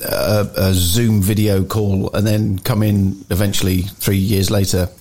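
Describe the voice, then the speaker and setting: A man co-hosting a podcast, telling a story in casual chat with dry, deadpan humour. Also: on the low side, unhurried. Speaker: podcast co-host.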